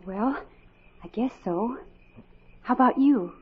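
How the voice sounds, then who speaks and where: An actress playing a sweet, bashful and excitable young farm girl in a 1950s radio musical. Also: on the high side, takes it slowly, husky, narrow, tinny audio, heavily acted. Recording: band-limited 1950s radio broadcast, music, crowd.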